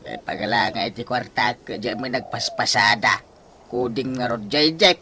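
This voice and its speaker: An actor playing an exasperated, teasing husband in a Philippine radio comedy-drama, grumbling in an animated way. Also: male voice, medium pitch, moderate pace, soft background music, lightly acted.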